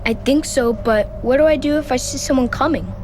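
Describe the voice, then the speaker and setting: A high, innocent little boy's voice, played by a woman, anxious and full of questions. It is audio drama dialogue with faint ambient noise behind it. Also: brisk, lightly acted. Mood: unsure, plaintive. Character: small, wide-eyed boy, anxious and inquisitive.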